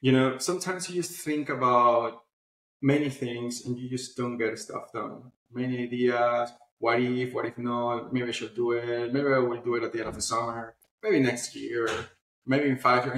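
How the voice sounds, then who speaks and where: A man speaking as an answers interview questions in an informal, explanatory way. His voice sits at an average pitch. The sound is noticeably roomy and echoey. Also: steady tone, moderate pace, fairly bright tone, slight background noise. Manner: informal, explanatory, often adds "you know". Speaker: guest, publishing platform founder.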